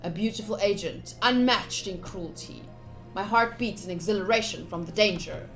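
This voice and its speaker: A woman's voice: medium pitch, moderate pace. A woman game streamer giving a playful, theatrical read-aloud of a visual novel with casual commentary. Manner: expressive, playful, theatrical reading. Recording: game music behind.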